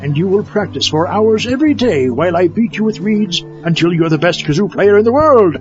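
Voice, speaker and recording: A megalomaniac mad doctor gloats and threatens theatrically. His high voice swoops dramatically, in a dull lo-fi recording with clear music behind him. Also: animated, fairly quick, noisy background. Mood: menacing. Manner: grandiose, theatrical, menacing. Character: megalomaniac mad scientist doctor obsessed with kazoos. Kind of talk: comedy horror audio drama acting. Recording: dull lo-fi audio over music.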